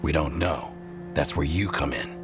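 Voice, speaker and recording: Forest ranger chief for a fantasy game: extremely deep, gruff, terse, stern, over music. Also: male voice, fairly even intonation, normal speed. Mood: grim, commanding. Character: gruff, stern forest scout leader, grown man. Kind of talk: fantasy video game acting.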